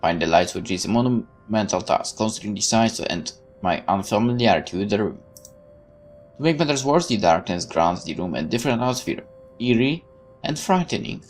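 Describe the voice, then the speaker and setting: A man's voice: lowish voice, expressive, brisk. A man hosting a let's play, expressively reading the game's text aloud with stumbles and asides, over music. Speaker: gaming video host reading the game text aloud. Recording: music playing behind.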